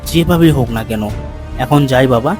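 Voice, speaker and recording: A man voicing a poor, gentle farmer father in a cartoon story, with a plaintive, pleading manner. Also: medium pitch, a little fast, close to an everyday voice. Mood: resigned. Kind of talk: cartoon moral story dialogue. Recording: music under the voice.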